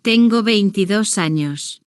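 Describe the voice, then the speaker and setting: Woman narrator for a learner story, slow and deliberate, dry close sound. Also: mid-range voice, expressive, clear, resonant tone, dry recording. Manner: slow, deliberate, evenly paced short sentences. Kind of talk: slow scripted first-person story narration for beginners.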